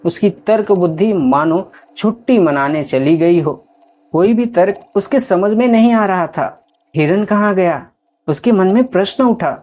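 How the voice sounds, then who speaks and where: Slow, low-pitched woman storyteller. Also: warm tone. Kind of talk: scripted horror story narration. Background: music.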